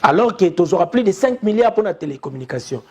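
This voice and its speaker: A young man with a Congolese accent and a notably high-pitched voice delivers an impassioned commentary. He talks urgently, repeating his points and calling out to his listeners again and again. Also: sing-song, quick pace, husky. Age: young adult. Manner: urgent, repetitive, appealing to his audience. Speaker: press commentator.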